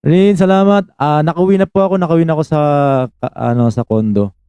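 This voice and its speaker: Filipino man in his twenties, live-stream host, mellow voice, smooth tone, dry close sound. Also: a little high, fast talker, no echo. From Philippines. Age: 20s. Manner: greets viewers by name between chat, mixes Tagalog and English. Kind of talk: casual live-stream chat.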